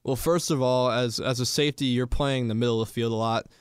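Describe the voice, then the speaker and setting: A man's voice: mid-range voice, fairly even intonation, fast, smooth, no room sound, studio quality. A young man from Florida, a college athlete casually answering questions in an interview. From Florida, United States. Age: young adult. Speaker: college football player guest.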